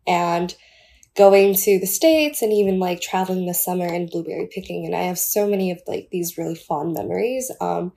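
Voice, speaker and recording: A woman's voice: fairly low pitch, moderate pace, creaky, dark tone. A woman in her twenties from Taiwan, a podcast guest chatting casually with many "like" and "um" fillers. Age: 20s.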